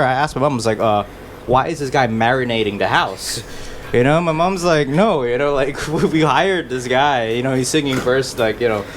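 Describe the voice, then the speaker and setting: A man's voice: medium pitch, a little hiss or hum. A man born in Malaysia, telling a story in casual chat, laid-back, with many fillers and restarts and a wry sense of humor. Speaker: musician guest.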